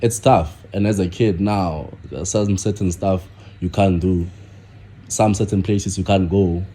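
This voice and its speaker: Young man with a low, mellow voice. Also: steady tone, breathy edge. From South Africa. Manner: halting, repeats himself, many fillers. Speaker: guest, content creator. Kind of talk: casual podcast interview.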